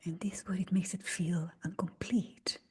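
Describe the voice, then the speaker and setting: A woman leading a guided meditation in a breathy, husky near-whisper, speaking gently in short phrases with long pauses. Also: on the low side, fairly even intonation. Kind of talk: slow guided meditation talk.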